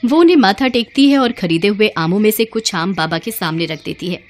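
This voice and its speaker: Mid-pitched woman narrating a story. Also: lively intonation, fairly quick, faint music behind.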